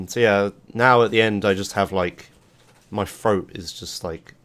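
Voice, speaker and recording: Man, low voice, hoarse, recovering from a cold, rambling podcast chat, casual swearing. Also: unhurried, fairly bright tone. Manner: rambling, loose, swears casually, laughs easily. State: hoarse, recovering from a sore throat and cough. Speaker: podcast host.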